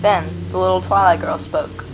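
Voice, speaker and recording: A woman's voice: fairly low pitch, steady tone, normal speed, background noise. A woman reading scripted narration for a fantasy radio play. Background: music.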